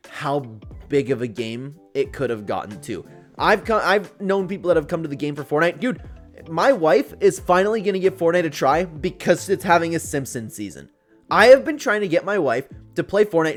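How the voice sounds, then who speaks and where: A young man giving casual, chatty YouTube-style gaming commentary, talking informally to his viewers. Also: a little high. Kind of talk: casual commentary reacting to read-out viewer posts.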